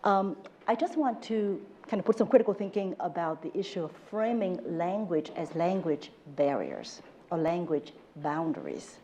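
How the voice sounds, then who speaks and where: A woman's voice: lowish voice, sing-song, normal speed, hardly any pauses, warm tone, a little hiss or hum. A Vietnamese American woman whose first language is Vietnamese, speaking on an academic panel in an earnest, emphatic way. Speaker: panelist, political economist.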